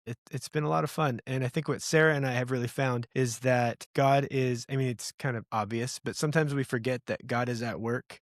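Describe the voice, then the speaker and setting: A man's voice: mid-range voice, steady tone, fairly quick, hardly any pauses, no room sound, clean studio sound. A man hosting a faith podcast, with an upbeat, joking, rambling way of talking. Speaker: podcast host. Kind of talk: casual podcast chat.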